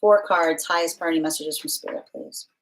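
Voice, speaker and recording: A woman tarot reader hosting a live stream, with a voice sitting a little low for a woman. She muses aloud as she goes, reads viewers' comments back, and trips over and restarts her phrases while she gathers her thoughts. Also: fairly quick, a little hiss or hum, rough recording.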